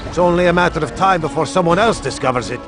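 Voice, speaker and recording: An actor playing an earnest, aggrieved medieval merchant in a video game, complaining with emphasis. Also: male voice, a little high, quick pace, over music, natural voice. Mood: frustrated. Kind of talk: dramatic video game dialogue.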